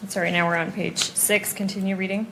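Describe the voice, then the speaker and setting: Female attorney giving polite courtroom directions, flat and slightly low, with clear noise behind her. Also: a little fast, poor audio. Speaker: attorney questioning a witness. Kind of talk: procedural courtroom instructions.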